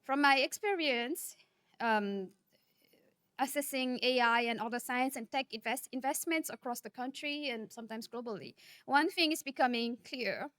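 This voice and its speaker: High-pitched woman giving a keynote. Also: moderate pace, a bit bright, dry recording, clean studio sound. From Nepal. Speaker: keynote speaker. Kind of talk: conference keynote speech.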